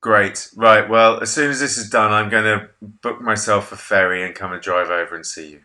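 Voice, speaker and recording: A man's voice: fairly low pitch, steady tone, normal speed, bright, forceful tone. A man in his thirties hosting a casual video-call interview from a home office, chatty, jokey and self-deprecating. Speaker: interview host.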